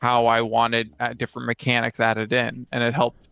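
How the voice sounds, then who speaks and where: A man's voice: mid-range voice, monotone, normal speed, gravelly, fairly bright tone, clear, resonant tone, dry recording, muffled recording, soft background music. A man in casual conversation as a podcast co-host, explaining things in a matter-of-fact way. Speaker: podcast co-host and game master.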